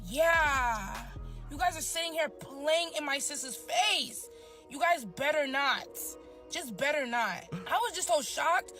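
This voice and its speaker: A woman in casual chat, telling a story in an animated, slangy, expressive way. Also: sits high, slow pace, faint music behind.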